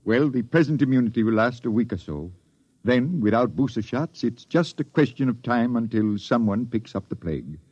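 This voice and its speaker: An actor playing a guilty expedition doctor, speaking hesitantly and apologetically in a 1950s radio drama, recorded as an old broadcast. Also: male voice, medium pitch, lively intonation, normal speed, a little mellow, slightly rough, no echo, dull audio. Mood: worried.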